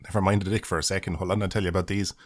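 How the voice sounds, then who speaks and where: Low-voiced, fast-talking young guy. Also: flat delivery. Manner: swears a lot, self-mocking, laughs at own jokes. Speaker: podcast guest. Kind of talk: crude casual banter.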